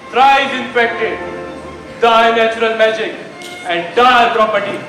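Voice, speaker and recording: A man acting a sinister stage poisoner, chanting slowly and deliberately in Shakespearean verse. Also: very high voice, bright, forceful tone, exaggerated voice. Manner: slow, deliberate incantation. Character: sinister poisoner in a play within a play. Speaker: amateur stage actor. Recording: very echoey stage with music.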